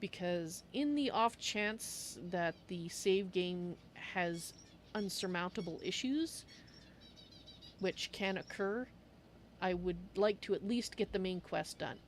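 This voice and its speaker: A woman's voice: lowish voice, slow pace, a little hiss or hum. A woman livestreaming a roleplay game, chatting casually to her viewers. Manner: speaks of her character in the third person.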